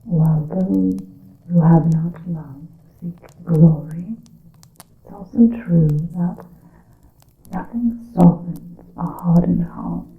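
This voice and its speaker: A woman playing a secretive, solemn witch in an ASMR roleplay, whispering slowly in archaic formal words, with a creaky breathy voice. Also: fairly low pitch. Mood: conspiratorial, solemn. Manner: slow, deliberate, archaic formal wording. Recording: muffled narrow-band sound with room echo.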